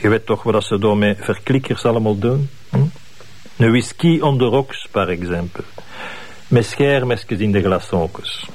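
An actor playing a swaggering, mocking Brussels gangster in a crime drama, with a Brussels accent and French mixed into colloquial Flemish. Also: male voice, fairly low pitch, measured pace, a little mellow. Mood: mocking, dismissive. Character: brash swaggering middle-aged male Brussels gangster. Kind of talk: television crime drama acting.

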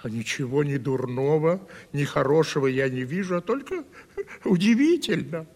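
An actor in a 1970s Russian radio play as a sly, gossipy manservant, teasing and insinuating in a folksy way. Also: male voice, a little high, animated, normal speed, a little mellow, in a large hall. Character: sly, gossipy, truth-telling male manservant, needling and folksy.

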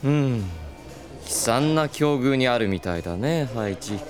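Man playing a suave rich gentleman over music. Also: mid-range voice, animated, measured pace, natural voice. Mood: condescending. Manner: smooth, patronising politeness. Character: suave, condescending wealthy gentleman collector, smugly courteous. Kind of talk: comic audio drama acting. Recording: music clearly behind the voice.

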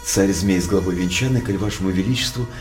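An actor playing a sly, envious royal counsellor in a theatrical radio play, with an insinuating, mock-deferential manner. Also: male voice, deep voice, fast, a little mellow, close to an everyday voice. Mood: venomous. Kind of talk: theatrical verse radio drama acting. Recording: music behind the voice.

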